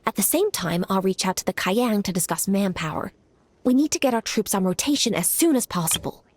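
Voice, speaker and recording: Composed, formal military commander. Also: female voice, medium pitch, lively intonation, breathy edge, no room sound. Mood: brisk, resolute. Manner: measured, formal, businesslike. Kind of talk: scripted video game voice acting.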